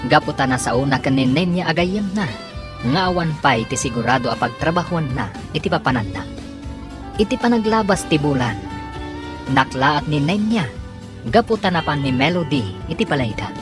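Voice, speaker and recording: A man's voice: on the high side, lively intonation, normal speed. A man delivering scripted narration as the storyteller of an audio drama serial. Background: music.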